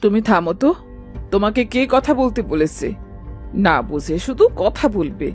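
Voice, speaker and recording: A woman's voice: mid-range voice, lively intonation, normal speed, a little mellow, faint music behind, natural voice. A woman playing an older village mother-in-law in a cartoon, bossy, carping and full of self-pity. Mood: snappish. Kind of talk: dramatised cartoon dialogue.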